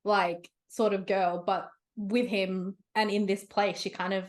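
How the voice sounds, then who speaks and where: A woman's voice: medium pitch, little pitch movement, measured pace. A young woman in her twenties, an author being interviewed, talking in a bubbly, rambling way with many "like" fillers and light laughs. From half Romanian, half Sri Lankan heritage. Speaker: author guest. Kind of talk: casual interview chat.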